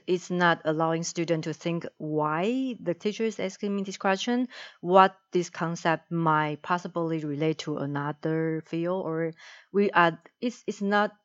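A woman with a Taiwanese accent talks about learning in a low, even voice. She picks her English words with care, pausing to find the right phrase. The recording sounds dull and lo-fi. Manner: searches for words, careful phrasing. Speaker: education student in discussion. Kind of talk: casual discussion.

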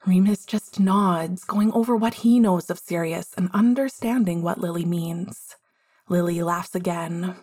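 A woman reading a story aloud as its narrator, in a measured storytelling manner. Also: on the low side, darkish tone, husky. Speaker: fiction narrator.

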